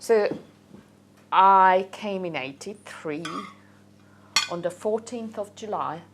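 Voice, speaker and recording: A middle-aged woman with a Hungarian accent tells her own story casually and haltingly, thinking aloud as a documentary interviewee. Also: mid-range voice, heavy background noise. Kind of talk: casual personal storytelling to camera.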